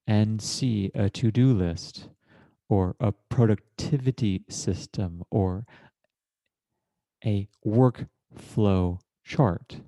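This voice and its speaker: A man leading a guided meditation in a measured, deliberate manner, with formal, literary phrasing and long pauses between short phrases. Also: on the low side, mellow, no echo. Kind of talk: guided meditation, slow contemplative narration.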